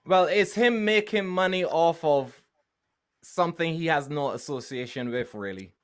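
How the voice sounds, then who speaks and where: A man's voice: on the high side, clean tone. Male podcast co-host, casual, explains things from home. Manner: explains slowly, repeats words while thinking. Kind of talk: casual chat.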